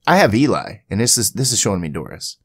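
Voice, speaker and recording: A man giving a casual screen-capture software tutorial, thinking aloud informally as he goes. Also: on the low side, very animated, fast talker, slightly rough, no echo. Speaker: tutorial host.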